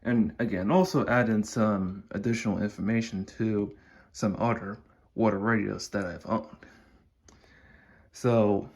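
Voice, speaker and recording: Slow, low-voiced man with husky tone. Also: fairly even intonation, darkish tone. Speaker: hobbyist video creator. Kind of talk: casual unscripted showcase narration. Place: indoors at home beside a shelf of radios. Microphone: handheld phone behind the camera.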